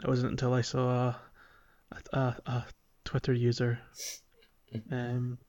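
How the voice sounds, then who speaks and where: A man with an average-pitched voice talks casually about a video game as a podcast host. He feels his way through points in a hesitant, meandering way. The sound is a little dull and lo-fi. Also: completely flat delivery, unhurried, a little mellow.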